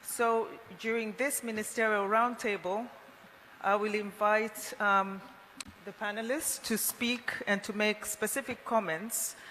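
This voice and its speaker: A woman chairs a formal roundtable at a medium pitch. Her voice rings through a big hall, with some room noise around it. She speaks as a moderator addressing delegates. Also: steady tone, unhurried, fairly bright tone. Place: large indoor conference hall. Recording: echoey hall, noisy room ambience.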